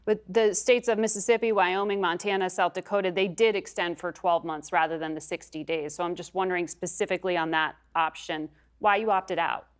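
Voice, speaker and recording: A woman's voice: on the low side, steady tone, brisk, hardly any pauses, clean tone. A woman journalist putting questions in an interview.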